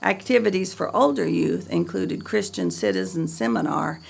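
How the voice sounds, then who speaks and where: Woman, scripted documentary-style narration, heavy vocal fry, animated pitch movement, measured delivery. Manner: measured reading aloud from a script. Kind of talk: scripted narration of a church history video.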